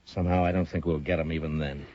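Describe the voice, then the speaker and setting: An actor playing a calm, commanding frontier marshal in a 1950s radio drama. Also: male voice, extremely low pitch, quick pace, dry recording, dull audio. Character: stern, steady male frontier marshal.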